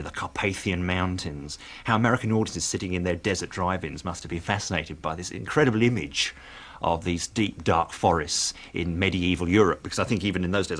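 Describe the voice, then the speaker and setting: Brief: interviewed male commentator, deep and fast, reflective. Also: fairly bright tone. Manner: thoughtful, hedging with "I think".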